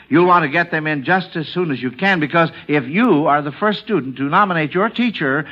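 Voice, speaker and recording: A high-pitched, very bright American man hosts a radio quiz in 1940s style. He addresses the young contestants directly with folksy exclamations. The sound is a dull, vintage lo-fi broadcast. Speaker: quiz show host.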